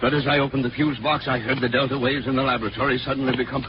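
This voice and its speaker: Male actor as earnest worried man, mid-pitched, urgent, pleading, 1940s radio drama, muffled recording, heavy noise. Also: level tone, very fast, natural voice. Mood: grave. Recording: dull, very noisy 1944 radio recording.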